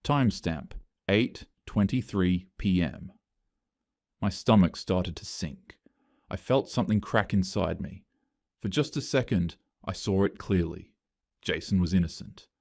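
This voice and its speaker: A man narrating a scripted first-person story with an even, flat delivery. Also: deep voice, a little slow, vocal fry, dry recording, studio quality.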